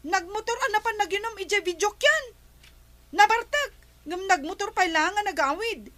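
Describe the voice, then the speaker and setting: A shrill village woman, very high and bright, her pitch leaping as she complains and blames. She sounds insistent and worked up. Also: expressive, normal speed, full character voice. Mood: complaining. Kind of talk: radio comedy drama acting.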